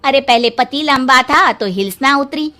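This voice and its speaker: A woman voicing a gossipy, mocking neighbour in a cartoon, sneering with drawn-out false pity. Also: high-pitched, sharp tone.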